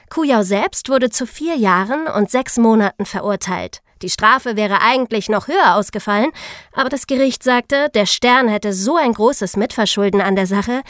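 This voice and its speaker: A woman's voice: medium pitch, no room sound, studio quality, natural voice. A woman playing a young podcast reporter, telling true-crime history in scripted, conversational narration.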